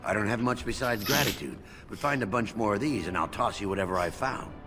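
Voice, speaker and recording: An actor playing a gruff, down-on-his-luck dwarf animal wrangler in a fantasy game. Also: lowish voice, a little fast, over music. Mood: weary, grateful.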